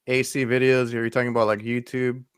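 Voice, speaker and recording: Smooth-voiced man hosting a chat. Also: medium pitch, little pitch movement, fast, dry recording, clean studio sound. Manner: friendly, full of fillers and restarts. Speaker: podcast host, HVAC technician. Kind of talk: casual interview talk.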